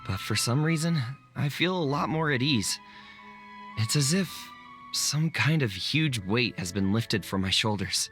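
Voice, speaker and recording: A man's voice: mid-range voice, animated, unhurried, fairly bright tone. An actor playing an earnest, unsure young man in a video game, respectful and deferential to his monarch. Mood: uncertain, relieved. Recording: music under the voice.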